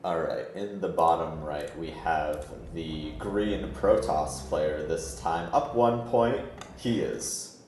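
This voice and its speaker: A man's voice: deep voice, slow, darkish tone, a little hiss or hum. A man hosting and commentating an esports match in a casual, laid-back, chatty way. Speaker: esports tournament host and commentator. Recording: roomy echo, music behind. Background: background music.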